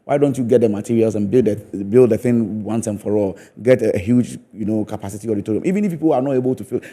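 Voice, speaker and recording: A man's voice: on the low side, fast talker, warm tone. A Ghanaian man speaking as a theatre guest in a studio interview, giving emphatic opinions and repeating phrases for stress. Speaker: guest, stage play producer and theatre practitioner.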